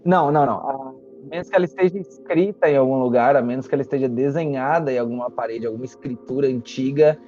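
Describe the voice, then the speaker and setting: Mellow, muffled Brazilian game master over music. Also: mid-range voice, fast talker. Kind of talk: narrating a tabletop roleplaying game.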